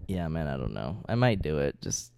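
A man's voice: rumbling low, very animated, normal speed, creaky, darkish tone, clean tone, dry recording. A young man chatting casually as a podcast co-host, talking informally and swearing freely. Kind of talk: casual chat.

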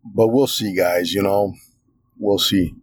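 A New man hosts his own podcast in a casual, rambling monologue, leaning on fillers as he talks with earnest passion. His voice sits very low and has a husky, slightly rough grain. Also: fairly even intonation, takes it slowly, no echo. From New York, USA. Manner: passionate fan talk. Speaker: podcast host.

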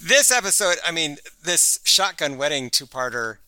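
A man's voice: a little high, very animated, moderate pace, bright, forceful tone, dry recording. A man co-hosting a casual podcast chat about an old sitcom, talking in a chatty way with frequent restarts. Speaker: podcast co-host.

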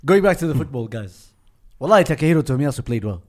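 A man's voice: medium pitch, big pitch swings, fast, a little mellow. A man co-hosting a football podcast in casual, conversational sports banter. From Kenya.

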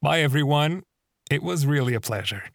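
A man hosting a podcast, asking questions and closing the episode with measured, formal phrasing. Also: on the high side, expressive, vocal fry. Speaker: podcast host.

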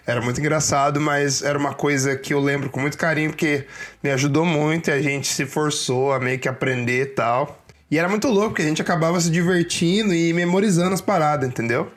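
A man's voice: fairly high pitch, quick pace. A young Brazilian man, talking straight to viewers in casual, slangy Brazilian Portuguese and giving career advice.

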